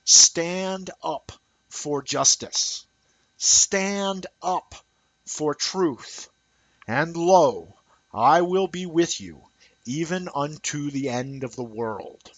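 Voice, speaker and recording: A man's voice: fairly high pitch, expressive, dry recording, voice pushed up, heavily acted, poor audio. A man voicing a solemn divine inner voice, commanding and comforting, in an audiobook reading. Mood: solemn, exalted. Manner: slow, exalted.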